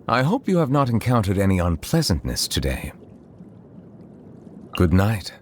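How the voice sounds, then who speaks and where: A man playing a reserved, eloquent chief judge in a video game, speaking in a formal and measured way. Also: fairly low pitch, huge pitch swings, a little hiss or hum. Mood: courteous, gentle. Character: formal, reserved male chief judge, secretly a water dragon. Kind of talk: scripted game character voice lines. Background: music.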